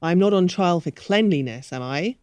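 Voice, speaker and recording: Mellow-voiced, officious care manager, played by a woman. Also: on the low side, lively intonation, normal speed, smooth, no echo, lightly acted. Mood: defensive. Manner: businesslike, defensive. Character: defensive, officious retirement community manager. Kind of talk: scripted audio drama.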